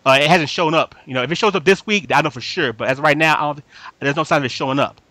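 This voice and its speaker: A man with a fairly high, forceful voice talks casually as a podcast host. He is emphatic and slangy, circles back to repeat his points and keeps checking he is understood. The sound is dry, with little room to it. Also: very fast, dry recording. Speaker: podcast host reviewing anime. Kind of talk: casual fan chat.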